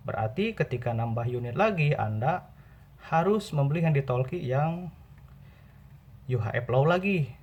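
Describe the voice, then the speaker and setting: A man hosting an informal tutorial, explaining step by step with pauses and repetition. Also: medium pitch, a little mellow. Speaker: video host and radio seller. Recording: dull, muffled lo-fi audio.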